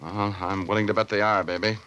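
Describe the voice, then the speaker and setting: A man's voice: fairly low pitch, fairly even intonation, fast talker, a bit bright, lo-fi sound, natural voice. A man playing a cocky, flirtatious private detective in 1940s radio drama style, wisecracking in slangy banter and narration. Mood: brisk, cocky. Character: wry, hard-boiled male private detective, flirtatious and cocky. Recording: vintage radio broadcast with music.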